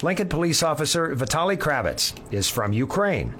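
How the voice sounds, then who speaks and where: A man hosting a radio show, asking his guest questions in a polished, measured way. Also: on the high side, big pitch swings, a bit bright, a little hiss or hum. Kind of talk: radio interview.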